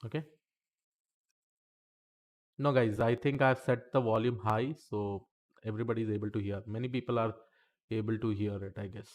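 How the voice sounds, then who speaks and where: A man's voice: lowish voice, fairly even intonation, normal speed, long pauses, a little mellow. A man teaching statistics in a live-streamed lesson, chatty and talking to the chat between points, saying okay often.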